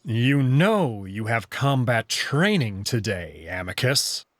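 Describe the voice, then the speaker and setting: A man's voice: medium pitch, sing-song, slow, no room sound, clean studio sound. A man voicing a stern, disapproving old male wolf guard from a visual novel, stoic and curt. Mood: stern disapproval.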